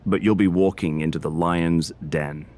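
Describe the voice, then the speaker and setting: A man's voice: low voice, fairly even intonation, normal speed, darkish tone, natural voice. A man voicing a serious, cautious backstage fixer in a video game cutscene. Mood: serious, cautioning. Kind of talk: dramatic game cutscene dialogue.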